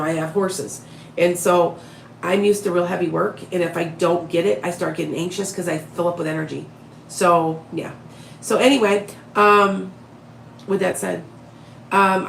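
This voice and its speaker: A woman with a raspy voice and a head cold, chatting to her viewers on a live stream in a rambling way, often starting her sentences over. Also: on the low side, roomy sound, slight background noise, low-quality recording. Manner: rambling, restarts often, talks straight to viewers. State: head cold, sniffly and short-winded. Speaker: psychic medium live-streaming to followers. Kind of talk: casual live-stream chat.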